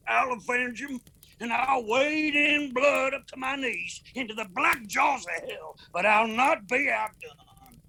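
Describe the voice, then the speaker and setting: An actor playing a proud, principled and vengeful mountain clan leader in a radio drama, with an Appalachian accent. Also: male voice, very high-pitched, creaky, sharp tone, heavily acted, rough recording. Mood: fierce vow.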